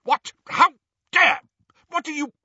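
A man putting on a pompous, husky old professor. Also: mid-range voice, wildly swooping pitch, unhurried, pushed and bright, no room sound, muffled recording, voice pushed up, full character voice. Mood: indignant, shrill. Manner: pompous, formal, disdainful. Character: haughty old male professor in a talking portrait, sneering, indignant.

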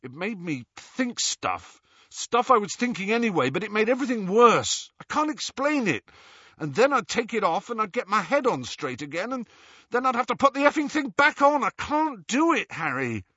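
A man's voice: a little high, sing-song, normal speed, hardly any pauses, sharp tone, breathy edge, no room sound, lo-fi sound, voice pushed up, heavily acted. A man voicing a scared, pleading teenage boy in a storybook, anxious and protesting. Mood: frightened, pleading.

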